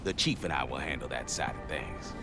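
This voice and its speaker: An actor playing a gruff, good-humored sergeant major with folksy, swaggering banter in a game cutscene. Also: male voice, very deep voice, brisk, music in the background. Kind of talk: video game cutscene acting.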